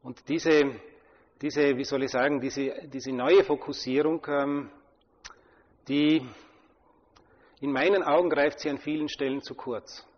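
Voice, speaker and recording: A German man gives a scholarly answer in a lecture hall, his husky voice softened by a dull, muffled recording. The long reverb hangs behind his careful, hesitant phrasing full of fillers and restarts. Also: a little high, fairly even intonation, measured pace, long pauses. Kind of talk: academic discussion.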